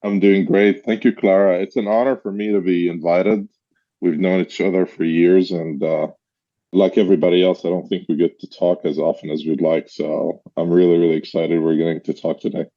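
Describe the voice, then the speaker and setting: Deep-voiced Egyptian man, relaxed storyteller. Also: a little fast, highs cut off. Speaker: guest. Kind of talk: casual conversation.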